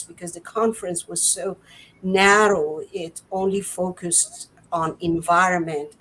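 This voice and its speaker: A woman from Iran with an Iranian accent, speaking as a panelist on a video call in a measured, earnest, lecture-like way. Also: sits low, a little hiss or hum, rough recording.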